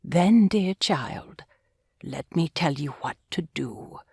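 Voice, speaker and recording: A woman playing a kindly, pious old grandmother in an audiobook drama, speaking gently, earnestly and with measured care. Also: low voice, animated, gravelly, husky, no echo, clean studio sound, heavily acted. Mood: tender, earnest. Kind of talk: full-cast audiobook acting.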